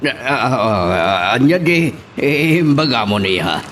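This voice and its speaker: A man's voice: medium pitch, big pitch swings, a little slow, a bit bright, slight background noise. A man with an Ilocano accent acting a doting, hesitant middle-aged father in a radio drama. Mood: flustered.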